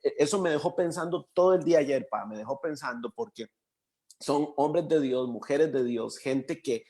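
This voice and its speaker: A man with a Costa Rican accent hosting an interview, talking in a reflective, halting way as he searches for words. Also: a little high, a little mellow. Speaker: interview host. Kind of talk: casual interview conversation.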